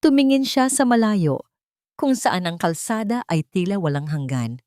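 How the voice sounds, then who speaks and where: A woman with a Filipino accent narrating a scripted dramatic story in a solemn, deliberate, literary way. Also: mid-range voice, lively intonation, darkish tone, no echo, studio quality.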